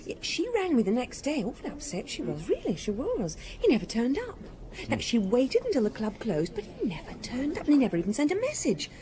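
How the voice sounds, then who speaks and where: A woman's voice: a little high, huge pitch swings, a little fast, background noise. An actress playing a cheeky, good-hearted young Parisian bar girl in a radio drama, chattering in gossipy run-on sentences. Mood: earnest, gossipy.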